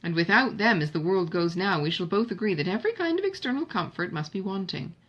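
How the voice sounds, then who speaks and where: A woman's voice: fairly low pitch, sing-song, quick pace, fairly bright tone. A woman voicing a sensible, composed young elder sister in a novel, reasoning in a formal, reproving way. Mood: wry, reasoned.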